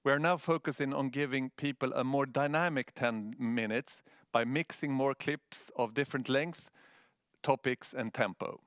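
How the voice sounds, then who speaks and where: A man's voice: medium pitch, steady tone, normal speed, a bit bright, no echo, studio quality. A man from Sweden with a Swedish accent giving a prepared presentation as a news editor.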